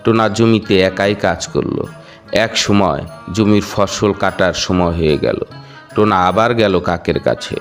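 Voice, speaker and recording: A man's voice: sits low, normal speed, faint music behind. A man narrating a children's cartoon fable as a scripted storybook tale.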